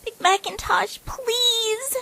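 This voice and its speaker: Woman voicing a frightened, high-pitched young pegasus mare. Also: takes it slowly, vocal fry, full character voice. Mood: frightened pleading. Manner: whimpering, pleading, hushed at times. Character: frightened, injured young female pegasus pony, pleading.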